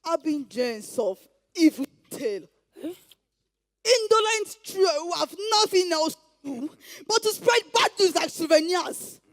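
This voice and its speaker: A woman playing a proud, sharp-tongued Nigerian mother in a stage play, declaiming with mockery. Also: very high-pitched, lively intonation, unhurried. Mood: haughty disdain. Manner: declamatory, mocking, proverb-laden. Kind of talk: theatrical stage play acting.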